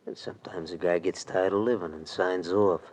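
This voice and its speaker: Low, sneering gangster played by a man. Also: steady tone, moderate pace, a little mellow, lightly acted. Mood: sly menace. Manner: slangy, sneering, threatening. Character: menacing, smug, small-time male gangster tough. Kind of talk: 1940s radio drama acting. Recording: noisy old radio broadcast recording.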